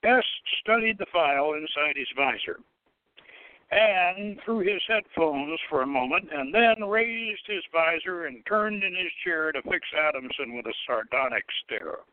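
A man's voice: a little high, lively intonation, a little slow, no room sound. A man, a show host, reading a novel aloud as dramatic scripted narration. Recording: narrowband radio-like sound, dry.

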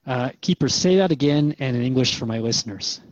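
A man's voice: mid-range voice, lively intonation, fairly quick, no room sound, muffled recording, close to an everyday voice. A man playing a curious human radio interviewer, informal and slightly bemused, in a conversational drama.

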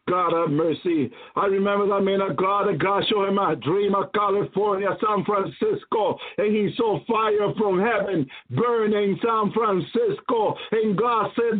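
Male preacher, very high pitch, fervent repetitive exhortation, tinny phone-like radio audio. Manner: emphatic, repetitive, exclaiming preacher's delivery. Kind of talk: fervent apocalyptic sermon. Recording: thin phone-like radio sound.

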